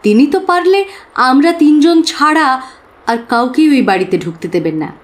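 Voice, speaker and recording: An actress playing an earnest young working woman who confides expressively and with pauses in an audio drama. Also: high voice, lively intonation. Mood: confiding. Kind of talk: dramatised audio story acting.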